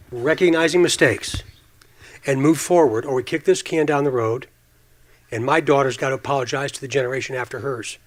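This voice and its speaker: A man's voice: medium pitch, fairly bright tone. A man in his fifties speaking as a police official at a forum, earnest and emphatic, repeating phrases for stress. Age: 50s. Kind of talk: impassioned forum speech.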